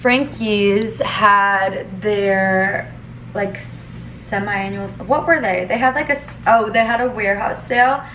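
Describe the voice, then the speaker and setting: A young woman talks straight to a camera held close at home, loose and chatty, with plenty of "like" and "um". Her voice sits at an average pitch over a faint hum of room ambience. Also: steady tone, slow, a bit bright, poor audio. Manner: chatty, rambling, lots of fillers. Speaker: vlogger. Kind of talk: casual vlog chat to camera. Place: home living room and kitchen. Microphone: camera at arm's length.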